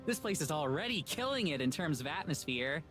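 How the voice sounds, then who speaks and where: Man voicing an excitable young woman, pitched up. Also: brisk. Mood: awed, excited. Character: excitable young woman fond of eerie places. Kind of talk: visual novel character reading. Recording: music playing over the voice.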